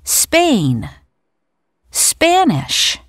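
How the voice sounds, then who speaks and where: A woman clearly pronouncing each word of a vocabulary list on a course audio track, played back during a lesson. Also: sits high, huge pitch swings, no echo, clean studio sound. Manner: clear, deliberate word-by-word pronunciation. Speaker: textbook audio speaker.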